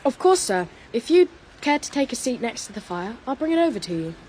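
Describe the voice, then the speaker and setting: High-voiced, earnest young boy. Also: sing-song, fairly quick, darkish tone, breathy edge. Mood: polite, eager. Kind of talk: dramatised audio adventure acting.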